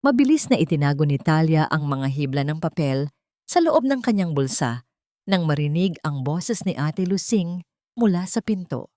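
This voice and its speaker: A woman's voice: low voice, very animated, moderate pace, warm tone, no echo, clean studio sound. A woman narrating a scripted audiobook drama in a dramatic storytelling style.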